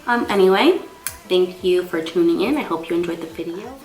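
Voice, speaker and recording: A woman's voice: on the low side, fairly quick, a little mellow. A young woman with a slightly congested voice from a cold, chatting casually as she gives a makeup tutorial. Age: young adult. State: has a cold. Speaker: makeup artist and beauty vlogger. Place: small indoor room. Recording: roomy echo.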